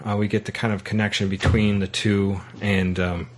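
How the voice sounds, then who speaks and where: A man hosting a podcast, talking casually about a film and haltingly repeating words as he recaps. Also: fairly low pitch, level tone, slight background noise. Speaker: podcast host.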